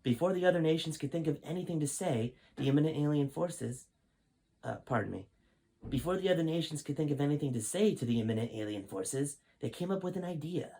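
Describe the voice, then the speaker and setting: A man's voice: a little high, animated, fairly quick, mellow, voice pushed up, rough recording. A man reading the part of a stern, grave male five-star general who lectures in a serious tone. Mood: lecturing.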